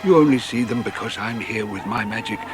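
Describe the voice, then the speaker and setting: A man's voice: fairly low pitch, fairly quick, natural voice. A man playing a mysterious, urgent old Punch and Judy showman and magician in a dramatised audiobook, talking in an old-fashioned, rambling way. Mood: reassuring, reminiscing. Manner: old-fashioned, rambling storytelling with chuckles and repetitions. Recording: music behind the voice.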